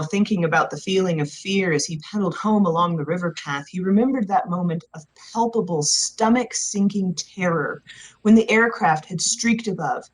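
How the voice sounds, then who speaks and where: A woman reading a novel aloud as expressive scripted narration. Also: lowish voice, rough recording. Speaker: audiobook narrator.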